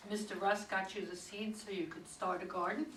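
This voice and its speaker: Bright-voiced probing detective played by a woman. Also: mid-range voice, fairly even intonation, a little fast, close to an everyday voice. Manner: firm, questioning. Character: persistent, probing, no-nonsense female police detective. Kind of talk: stage play read aloud by readers' theater. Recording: echoey room, distant mic, faint noise.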